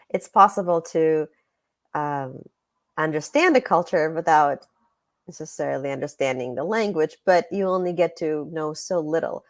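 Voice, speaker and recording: A woman from Russia speaking as an author and podcast guest, reflective and thoughtful, with measured, sometimes hesitant phrasing. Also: low-pitched, no echo, studio quality. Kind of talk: reflective interview conversation.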